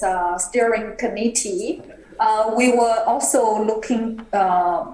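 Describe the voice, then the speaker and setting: Woman chairing an online meeting, halting. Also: medium pitch, reverberant, low-quality recording. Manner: hesitant, many fillers, polite.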